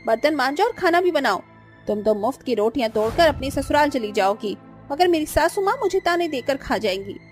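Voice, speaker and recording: Woman voicing a haughty, high-pitched young daughter-in-law. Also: expressive, brisk, faint music behind. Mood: bossy, scornful. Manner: haughty, theatrical. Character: arrogant, sharp-tongued young daughter-in-law taunting relatives.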